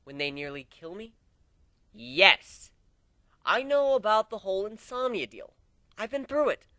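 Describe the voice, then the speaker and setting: Man acting a hot-headed griffin swordfighter, loud and high. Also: big pitch swings, measured pace, dry recording, studio quality, full character voice. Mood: stern, scolding. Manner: exclamatory, sarcastic, scolding. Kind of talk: dramatic storybook reading.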